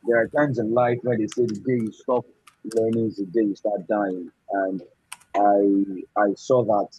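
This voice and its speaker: A man's voice: fairly low pitch, flat delivery, a little slow, dark tone, breathy edge. A man with a Nigerian accent giving an effusive, earnest, informal thank-you speech, repeating phrases as he goes. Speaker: graduating course student. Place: indoors, online video call. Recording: muffled online call, echoey room.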